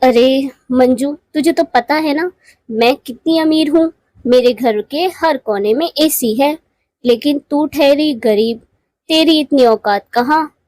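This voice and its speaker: A woman's voice: sits high, slow pace, a little mellow, dry recording, rough recording. A woman voicing a boastful, condescending rich elder-sister bird in a moral cartoon. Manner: condescending, showy. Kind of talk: Hindi moral cartoon voice acting.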